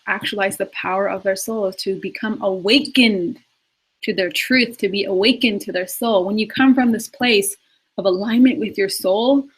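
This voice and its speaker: A woman's voice: mid-range voice, husky, rough recording. A woman in her twenties, a life coach, talking earnestly in a live Q&A and repeating words for emphasis. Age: 20s. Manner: earnest, repetitive, emphatic, with laughs and restarts. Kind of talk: live Q&A talk on spirituality.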